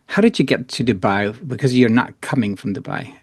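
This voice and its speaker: A man hosting a podcast, introducing his guest and asking questions in a measured way. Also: mid-range voice, husky, dry recording, studio quality. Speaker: podcast host and coach.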